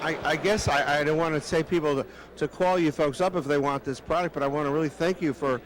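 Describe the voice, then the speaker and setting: A man's voice: on the high side, fairly even intonation, fast talker, noisy background. Chatty man hosting a wine tasting. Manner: jovial, chatty, with frequent stammered restarts. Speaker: host. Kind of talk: casual on-location interviews.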